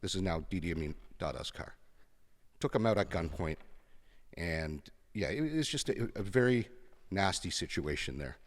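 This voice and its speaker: A Canadian man in his fifties telling his personal story as a podcast guest, halting and reflective, pausing and repeating words. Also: deep voice, expressive, long reverb tail. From Canada, born in Kenya.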